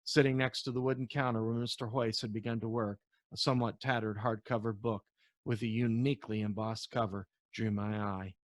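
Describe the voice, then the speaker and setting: A man who is an author reading his novel aloud as storybook narration, in an expressive storytelling manner with occasional stumbles. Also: on the low side, a little fast, no room sound.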